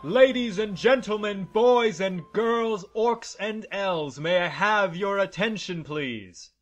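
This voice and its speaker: A man's voice: sits high, slow, over music. A man playing a grandiose tavern storyteller who announces heroic legends in a declamatory, showmanlike way. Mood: grand, showmanlike.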